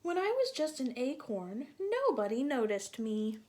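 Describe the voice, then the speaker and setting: A woman reading a picture book aloud to young children, gently asking them questions and sometimes dropping to a soft whisper. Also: high voice, very animated, measured pace.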